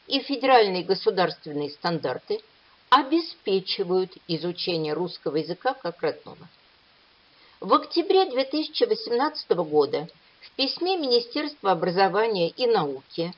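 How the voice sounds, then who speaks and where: A woman delivers an academic conference lecture in a measured, explanatory way. Her intonation moves up and down a lot as she stresses key points. The recording sounds dull and lo-fi. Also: mid-range voice.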